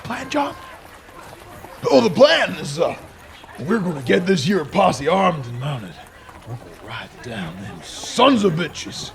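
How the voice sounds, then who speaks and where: Gravelly, high-pitched sheriff. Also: male voice, sing-song, full character voice. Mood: rousing. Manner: folksy, halting, speechifying. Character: blustering, hesitant small-town western sheriff rallying a posse. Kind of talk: western audio drama acting.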